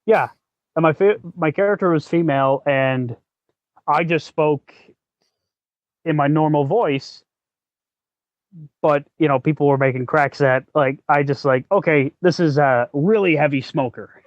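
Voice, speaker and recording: A man hosting a podcast, giving casual advice in a choppy, halting cadence. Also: fairly high pitch, dry recording. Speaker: podcast host. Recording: dull, lo-fi close audio.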